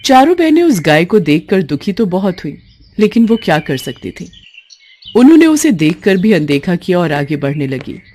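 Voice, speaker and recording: A woman narrating a scripted moral story in an expressive, emotive, dramatic way. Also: fairly low pitch, normal speed, a little hiss or hum.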